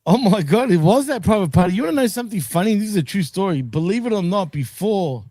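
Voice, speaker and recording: Mellow laid-back wrestling co-host man. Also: high voice, lively intonation, fast talker, no echo, clean studio sound. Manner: laid-back, laughs often, swears casually. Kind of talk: casual chat.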